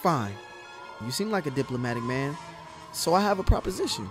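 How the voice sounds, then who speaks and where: A man plays a timid, modest lawyer in a comic western audio play. He stammers politely in a high, very animated voice. Loud music runs underneath. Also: a little fast. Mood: uneasy bluffing. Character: nervous, modest male city lawyer turned sheriff.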